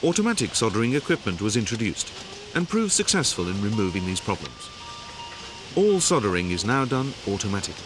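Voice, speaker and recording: Low guy narrating a factory training film, lively up-and-down delivery, music under it. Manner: measured, explanatory. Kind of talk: scripted narration. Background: background music.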